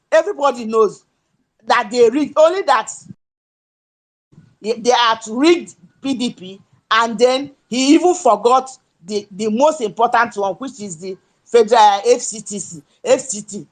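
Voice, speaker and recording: A Nigerian woman giving an impassioned political speech in an audio chat room, emphatic and at times shouting. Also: fairly high pitch, lively intonation, measured pace. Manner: emphatic, rises to shouts, pidgin-tinged. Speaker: speaker in a political audio space.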